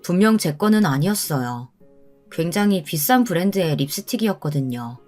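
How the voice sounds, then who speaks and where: A woman's voice: fairly low pitch, animated, moderate pace, a little mellow, soft background music. A woman reading a scripted first-person story as its narrator.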